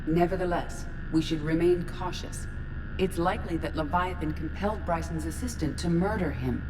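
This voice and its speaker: An actress playing a calm female ship AI who speaks in a precise, measured, literal way. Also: on the low side, long reverb tail, over music, natural voice, poor audio. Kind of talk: video game dialogue.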